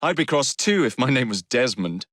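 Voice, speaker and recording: An actor with an English accent playing a cocky, roguish young Victorian gang leader in a video game, wry, brash and teasing. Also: male voice, mid-range voice, sing-song, normal speed, a bit bright, dry recording, studio quality. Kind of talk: dramatic video game dialogue.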